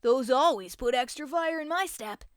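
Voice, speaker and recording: A woman's voice: high-pitched, moderate pace, no room sound, studio quality. A woman voicing an eager, chatty young male animal reporter in a children's story. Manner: eager and excitable.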